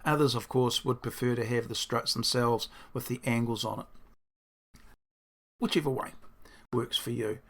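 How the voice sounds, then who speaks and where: Male builder, croaky and gravelly, middle-pitched, rambling casual diary talk. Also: fairly even intonation, moderate pace, long pauses, fairly bright tone. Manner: rambling, thinking aloud, self-deprecating. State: croaky, hoarse. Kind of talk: casual diary-style explanation.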